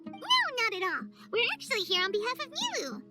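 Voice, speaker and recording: A woman's voice: very high voice, wildly swooping pitch, fairly quick, exaggerated voice. A woman voicing a small, childlike, chirpy fairy companion in a video game cutscene. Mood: bright, explaining. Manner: chirpy, exclamatory. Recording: loud background music.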